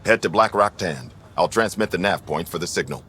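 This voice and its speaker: A man's voice: low-pitched, expressive, a little fast, music in the background. A man playing a cautious, earnest colony outpost leader in a video game, serious and burdened. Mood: concerned.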